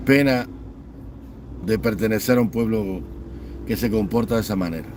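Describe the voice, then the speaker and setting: A man's voice: on the low side, moderate pace, background noise. A man giving an impassioned political rant, piling up scornful lists and mocking. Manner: fiery, repetitive listing, mocking. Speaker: political commentator.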